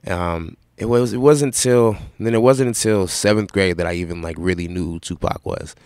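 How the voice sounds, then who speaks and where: A young man from Seattle with a raspy voice, answering interview questions in a relaxed, rambling way with lots of fillers. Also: low-pitched, moderate pace, dry recording. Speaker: rapper guest.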